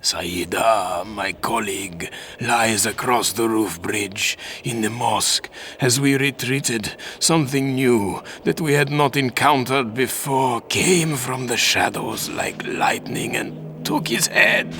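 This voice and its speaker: An actor playing a wounded, fatalistic soldier in a video game, speaking pained, broken English. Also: male voice, mid-range voice, slow pace, hardly any pauses, fairly bright tone, slight background noise. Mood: grave. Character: dying foreign soldier, bleeding, fearful, bitter, fatalistic. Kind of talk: dramatic video game cutscene acting. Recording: game cutscene audio with music.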